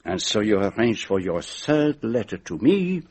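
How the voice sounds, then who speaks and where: An actor playing a shrewd, methodical detective in a radio mystery drama, speaking formally and deliberately as he sets out an accusation. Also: on the low side, sing-song, a little mellow. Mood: methodical, grave. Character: shrewd, methodical male detective laying out his case.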